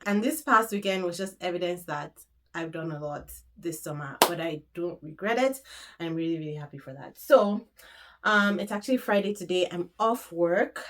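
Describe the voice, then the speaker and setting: A woman in her thirties, sniffly with a cold, chatting casually to the camera about her weekend and bags. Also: fairly low pitch. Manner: chatty, gesturing with her hands. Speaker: vlogger. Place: room in front of a handbag shelf. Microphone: camera in front.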